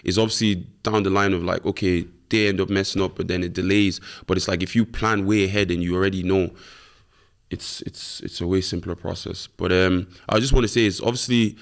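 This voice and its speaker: A young man who grew up in Ireland, chatting as a guest in a relaxed, slangy way with many fillers. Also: low-pitched, brisk, creaky. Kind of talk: casual interview chat.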